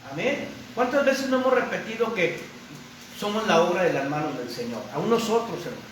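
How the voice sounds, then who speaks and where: A man's voice: high voice, lively intonation, a little fast. A man preaching a sermon as a pastor, emphatic and repetitive, punctuating his points with amens and rhetorical questions. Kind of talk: sermon, expository preaching with Bible readings. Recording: very echoey room, distant mic, background noise.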